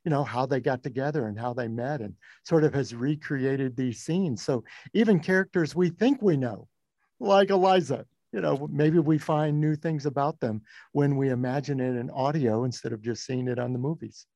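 Mellow male podcast host, dry sound. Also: mid-range voice, moderate pace, no room sound, muffled recording. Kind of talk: conversational interview questions.

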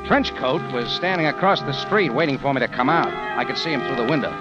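A man's voice: mid-range voice, animated, fast talker, close to an everyday voice. A male actor with an American accent playing a tough, weary adventurer narrating his own story in a wry 1940s radio drama style. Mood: wry, gripping. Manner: hard-boiled, wry, dramatic. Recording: dull vintage radio recording, music behind.

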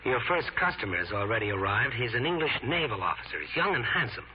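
A man's voice: medium pitch, very animated, fast, lightly acted. An actor playing a fond, fussing, easily exasperated guardian in his thirties in a 1940s radio drama, avuncular and protesting. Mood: observant. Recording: muffled, noisy old radio recording.

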